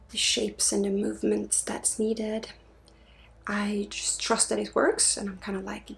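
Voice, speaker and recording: A woman from Sweden in soft-spoken ASMR as a spiritual energy healer, drifting between a murmur and a breathy whisper. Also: mid-range voice. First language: Swedish.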